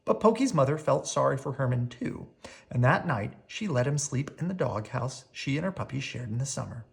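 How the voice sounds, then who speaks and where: Storyteller reading a kids picture book, super lively up and down pitch, stretches out the big words. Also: vocal fry. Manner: expressive, drawn-out key words. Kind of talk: children's picture book read-aloud.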